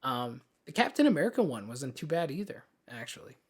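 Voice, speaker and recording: A man's voice: medium pitch, big pitch swings, fairly quick. A man co-hosting a podcast, chatting informally and peppering his talk with "like". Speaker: podcast co-host. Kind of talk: casual chat about games and films.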